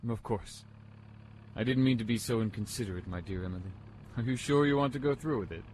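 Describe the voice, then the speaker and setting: A man playing a brooding, courtly gentleman in a gothic audio drama, earnest and formal in his delivery. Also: medium pitch, brisk, slight background noise, natural voice. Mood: apologetic, tender.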